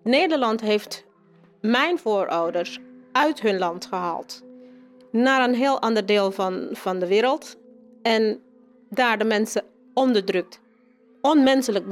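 A woman speaking as an interview guest, spontaneous, earnest and halting. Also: mid-range voice.

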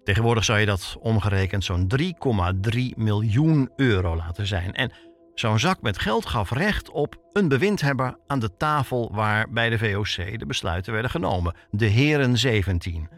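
A man narrating a scripted documentary podcast as its reporter, telling the story in short, measured phrases. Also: on the low side, lively intonation.

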